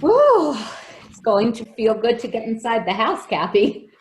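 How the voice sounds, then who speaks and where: Expressive, protective nanny, played by a woman. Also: a little high, unhurried. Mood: tender. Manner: motherly, firm, emotive. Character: caring, protective nanny to a young girl. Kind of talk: old-time radio play acting. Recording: echoey room with noise and clicks.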